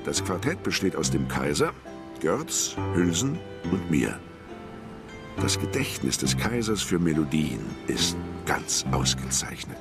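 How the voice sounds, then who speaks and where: An actor playing an admiring, genteel companion of the Kaiser, reading a diary in a radio drama. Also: male voice, low voice, big pitch swings, a little slow, over music, exaggerated voice. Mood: pleased.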